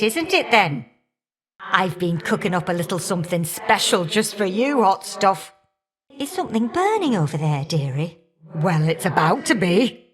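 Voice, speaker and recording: Fiery older goddess for a game, cheeky and folksy, teases you fondly. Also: female voice, mid-range voice, a bit bright. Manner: folksy, teasing, affectionate endearments and colloquial turns of phrase. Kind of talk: video game character voice acting.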